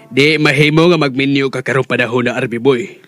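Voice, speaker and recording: An actor playing a stern, firm older parent in a Bisaya radio drama. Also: male voice, mid-range voice, steady tone, fairly quick, music in the background, lightly acted.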